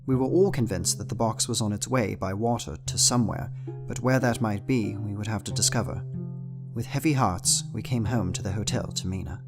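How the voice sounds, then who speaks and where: A man's voice: fairly low pitch, lightly acted. An actor playing a weary young Englishman, solemnly reading his journal in a Gothic audio drama. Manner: solemn, literary narration. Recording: music behind the voice. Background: plucked string music.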